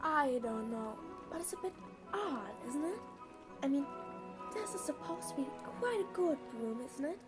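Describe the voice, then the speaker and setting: Woman acting as worried careful teen witch girl, high voice, hesitant and proper, audio drama w music behind. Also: lively intonation, moderate pace.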